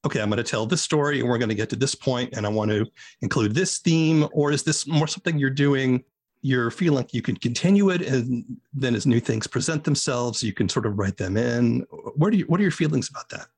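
A man's voice: mid-range voice, fairly quick, darkish tone, breathy edge. An American man interviewing guests in a conversational style. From United States.

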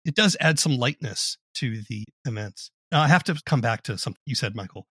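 A man's voice: medium pitch, lively intonation, a little fast, fairly bright tone, slightly rough, no room sound, clean studio sound. A man hosting a conversational interview, asking questions and commenting as he goes. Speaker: podcast host, interviewer.

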